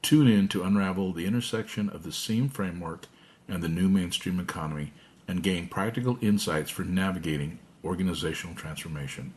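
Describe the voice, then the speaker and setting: A man reading a scripted promo for a podcast episode in a smooth announcer delivery. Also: low voice, fairly quick, darkish tone, slight background noise.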